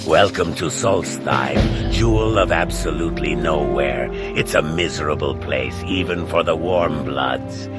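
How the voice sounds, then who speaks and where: Fantasy game reptilian ferryman, male actor: extremely low voice, dry and world-weary, loud music underneath. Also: unhurried, hardly any pauses. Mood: dryly gloomy. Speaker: non-player game character. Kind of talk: scripted fantasy game dialogue.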